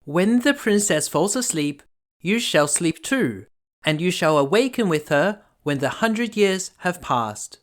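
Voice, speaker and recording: A man voicing a gentle, reassuring fairy godmother in a storybook, earnest and soothing. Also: sits high, lively intonation, measured pace, voice pushed up.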